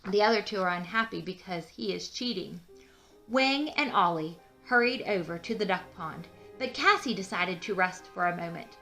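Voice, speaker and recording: A woman's voice: medium pitch, expressive, normal speed, pushed and bright, faint music behind, low-quality recording. A woman reading a children's storybook aloud, explaining things simply as the host.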